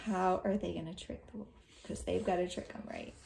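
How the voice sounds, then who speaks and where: A woman teacher giving an expressive, dramatic storybook read-aloud, pausing to put questions to young listeners. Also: fairly low pitch, vocal fry, a little hiss or hum.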